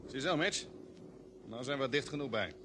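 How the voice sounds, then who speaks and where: An actor playing a calm, decisive male expedition leader in a vintage Dutch radio play, giving brisk orders with authority. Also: medium pitch, close to an everyday voice. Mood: focused. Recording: old radio recording with faint noise.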